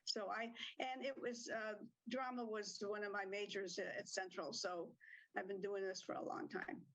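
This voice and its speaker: A woman's voice: mid-range voice, flat delivery, moderate pace, a bit bright, poor audio. An older woman who directs community theatre, answering interview questions in a chatty way with ums and small laughs.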